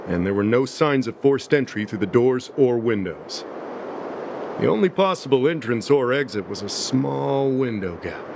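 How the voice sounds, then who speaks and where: An actor playing a cool, logical detective in a game cutscene, speaking in a measured, probing way. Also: male voice, mid-range voice, expressive, gravelly, background noise. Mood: probing, confident. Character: cool, logical male detective questioning suspects. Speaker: investigator.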